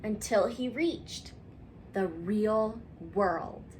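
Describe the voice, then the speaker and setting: A woman reading a picture book aloud for children, expressively and with dramatic pauses, speaking directly to her young listeners. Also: mid-range voice, darkish tone, a little hiss or hum, poor audio. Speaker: children's story reader.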